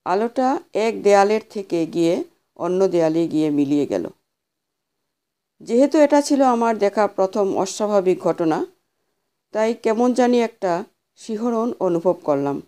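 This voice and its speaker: A woman reads a scripted ghost story in a soft, dark-toned voice. She sits at a middling pitch and delivers the tale in a measured, dramatic way, as an audio storyteller would. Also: animated. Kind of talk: scripted horror story narration.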